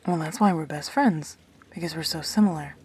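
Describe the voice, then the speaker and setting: A woman's voice: fairly quick, darkish tone. A woman acting a wry, blunt but protective immortal best friend in an audio drama, with a dry delivery. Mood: wry, teasing. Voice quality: low, husky.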